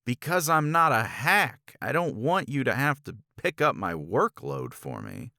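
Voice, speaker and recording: A man acting a jealous, frustrated academic in an intimate romance audio roleplay, speaking in a halting, intense manner. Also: mid-range voice, very animated, pushed and bright, dry recording, clean studio sound, full character voice. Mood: defensive, frustrated. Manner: halting, intense, slipping into breathy near-whispers. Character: jealous, frustrated male academic confessing to his assistant.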